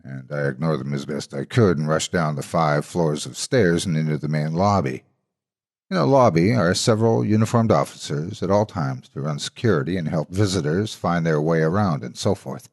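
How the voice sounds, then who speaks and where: A man's voice: very deep voice, normal speed, a little mellow. A man working as a horror story narrator, reading a scripted tale in a dramatic style.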